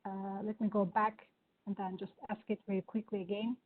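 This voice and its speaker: A woman with a Turkish accent giving an enthusiastic live software demo, with many fillers. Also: mid-range voice, little pitch movement, a little fast. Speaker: presenter. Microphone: video call. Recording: phone-like online meeting audio.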